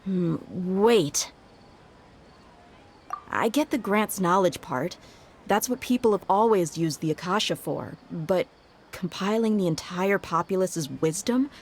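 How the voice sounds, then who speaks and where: A woman's voice: fairly low pitch, lively intonation, slow pace, slight background noise. An actress playing a sceptical, defiant young woman with a blunt, wry manner in a video game. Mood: pondering. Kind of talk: English video game voice acting.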